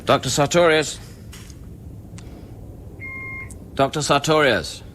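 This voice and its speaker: An actor playing an earnest scientist on a space station in a radio play, brooding and deliberate in narration, urgent when questioning. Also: male voice, fairly high pitch, fairly bright tone, slight background noise, natural voice. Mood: insistent, demanding. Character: earnest male scientist newly arrived on space station, recounting events. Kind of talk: radio drama acting with first-person narration. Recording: music behind the voice.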